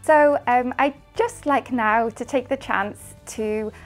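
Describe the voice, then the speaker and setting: Woman, course coordinator, higher voice, relaxed explainer tone, music bed. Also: slow pace. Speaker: curriculum development coordinator. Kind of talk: promotional talk.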